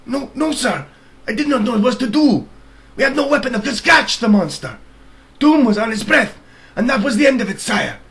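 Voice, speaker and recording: A man acts out a frightened soldier from a storybook, pleading for his life. The voice is high and bright, swooping with panic. He is deferential to his king and stammers. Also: animated, normal speed, exaggerated voice. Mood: fearful, pleading. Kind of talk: storybook character reading.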